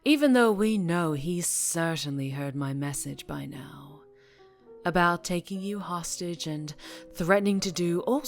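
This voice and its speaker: A woman acting as a dangerously charming mafia boss in an ASMR roleplay, teasing and softly threatening, unhurried, slipping into whispers. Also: sits low. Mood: teasing menace.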